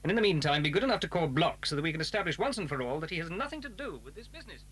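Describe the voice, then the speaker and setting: A man's voice: on the high side, sing-song, racing, very noisy. An actor playing an irritable, dismissive father of a household in a children's audio drama, blustering and formal. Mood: stern, forbidding.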